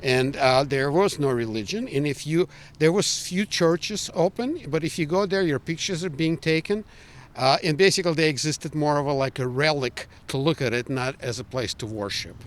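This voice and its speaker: Older man, Ukrainian accent, slightly high voice, emphatic and earnest, opinionated interview answers. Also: moderate pace, slight background noise. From Ukraine, former Soviet Union.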